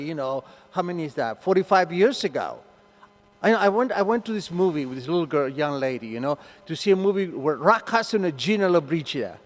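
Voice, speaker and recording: An older man from Argentina with an Argentine Spanish accent, a native Spanish speaker, telling an animated story to a meeting and acting out the voices. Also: on the high side, long reverb tail. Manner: animated, acts out voices in his stories. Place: meeting hall.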